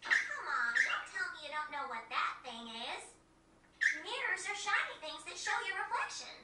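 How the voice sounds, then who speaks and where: Cheeky high-pitched kid. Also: female voice, lively intonation, moderate pace. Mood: teasing. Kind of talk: animated video game dub acting. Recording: echoey, distant, noisy background.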